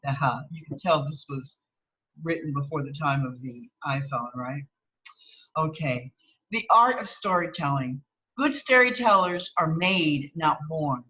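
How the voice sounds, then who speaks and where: A woman's voice: low-pitched, fairly bright tone, low-quality recording. A woman reading an article aloud as a teacher, breaking off for plain explanatory asides and checking that listeners follow. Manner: instructive, checks understanding with tags like okay and right.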